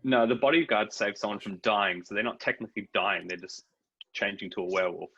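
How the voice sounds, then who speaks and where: Husky man, low, calm rules explainer, muffled call audio. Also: a little fast. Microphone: video call.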